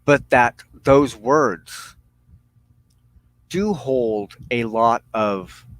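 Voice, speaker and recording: Male religious broadcaster, mid pitch, solemn and halting, unhurried teaching delivery in a dry room. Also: no room sound. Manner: solemn, deliberate, halting phrasing with pauses. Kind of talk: spiritual teaching monologue.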